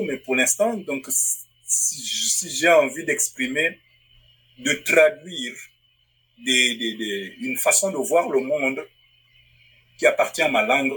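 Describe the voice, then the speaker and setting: A man from Africa with a bright, forward tone and a husky edge to his voice. He answers interview questions as a publisher and writer, thinking aloud and often circling back to restart a phrase. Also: medium pitch, rough recording. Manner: thoughtful, restarts phrases while searching for words. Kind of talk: interview guest. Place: Northwest Territories.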